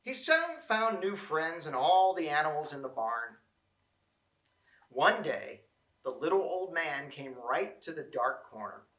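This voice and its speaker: Bright-voiced man reading a children's story. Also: fairly high pitch, echoey room, low-quality recording. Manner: expressive, deliberate phrasing. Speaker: storybook reader. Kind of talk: children's read-aloud narration.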